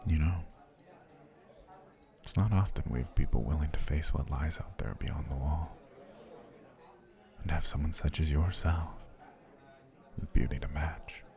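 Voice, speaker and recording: A very deep, dark-toned potion seller, voiced by a man, speaks slowly and formally, heaping flattery on his dinner date. His gravelly voice often sinks into close, soft whispers, in the intimate manner of an ASMR roleplay. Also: full character voice. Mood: flattering. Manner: formal, courtly, flattering speech. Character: smooth-talking male potion seller, formal and obsessive.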